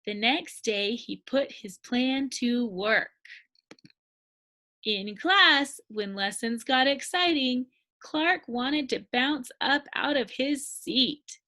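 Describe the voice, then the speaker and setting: A woman reads a children's story in a high, bright voice. Her delivery is very slow and expressive, with deliberate emphasis on key words. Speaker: storybook reader.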